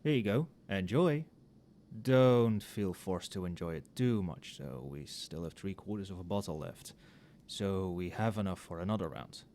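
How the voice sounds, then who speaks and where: A man playing an overworked magic professor in a casual, soft-spoken ASMR roleplay, muttering with dry sarcasm. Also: low-pitched, expressive, moderate pace, a little hiss or hum. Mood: friendly, confiding. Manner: casual, muttering, dryly sardonic. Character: wry, overworked male magic professor grading papers late at night.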